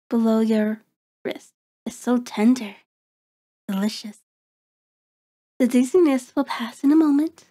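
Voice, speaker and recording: A woman's voice: a little high, warm tone, exaggerated voice. A woman playing a cold, taunting vampire in an intimate ASMR horror roleplay, speaking with mocking, formal deliberation. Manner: slow, deliberate, mocking, haughty formal diction. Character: cold, taunting, predatory female vampire toying with prey.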